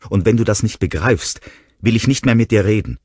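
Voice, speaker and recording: Ein Mann spricht eine junge Frau, verletzt und wütend, mit schrillen, flehenden Ausbrüchen. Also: male voice, very animated, normal speed, slightly rough, no echo, studio quality, exaggerated voice. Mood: upset. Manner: shrill, pleading outbursts. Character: young woman, hurt and furious.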